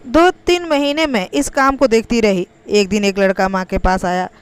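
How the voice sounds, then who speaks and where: A woman's voice: mid-range voice, fairly even intonation, moderate pace, clear, resonant tone. A woman reading a scripted, first-person emotional story as its narrator.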